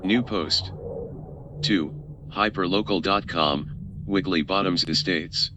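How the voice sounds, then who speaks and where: A man's voice: low-pitched, slow. A man reading a scripted announcement in the style of an announcer for a fictional social network. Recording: dull lo-fi sound, noisy background.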